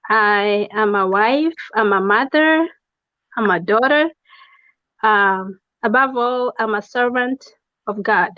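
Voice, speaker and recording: A woman's voice: mid-range voice, takes it slowly, no room sound. A woman speaking as an interview guest over a video call, brief and a little hesitant. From Kenya. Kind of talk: casual interview. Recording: dry, dull lo-fi sound.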